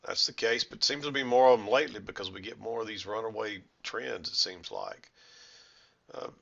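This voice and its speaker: Hoarse, congested man with a very deep voice. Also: very animated, a little fast, fairly bright tone, highs cut off. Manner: rambling, self-correcting explanations with many fillers. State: hoarse and congested from a head cold. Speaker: trading educator. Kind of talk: screen-share trading recap.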